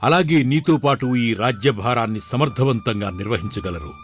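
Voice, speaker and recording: A man voicing a caring, authoritative older king in a moral story, with a measured, formal manner. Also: medium pitch, huge pitch swings. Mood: fatherly, tender. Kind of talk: dramatized Telugu moral story.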